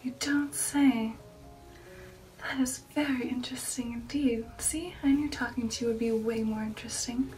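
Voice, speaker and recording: A woman voicing a refined, affectionate female vampire in an intimate ASMR roleplay, with a breathy whisper and a genteel manner. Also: a little high, steady tone, moderate pace, darkish tone, distant mic, faint music behind, close to an everyday voice, low-quality recording. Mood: coy, curious. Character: refined, affectionate female vampire recounting an old shipboard romance. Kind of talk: ASMR roleplay, personal attention.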